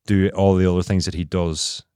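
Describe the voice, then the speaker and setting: A man's voice: deep voice, level tone, a little fast, mellow, clear, resonant tone, no room sound, studio quality. A man co-hosting a casual podcast chat, analytical, working through long explanations with restarts. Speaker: podcast co-host.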